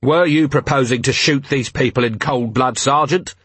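A man's voice: medium pitch, normal speed, a bit bright, breathy edge, no echo. A sardonic male commander of a fantasy city watch, played by a male audiobook reader. His delivery is clipped and dry. He sounds weary yet fully in command, with irony in his orders. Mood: dry, questioning. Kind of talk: audiobook character voice.

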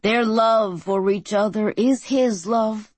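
Fervent male preacher, slow rolling cadence, read by a woman narrator, dry studio audio. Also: medium pitch, a little mellow, no room sound. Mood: preaching. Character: male pastor preaching a televised wedding sermon. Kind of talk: audiobook character reading.

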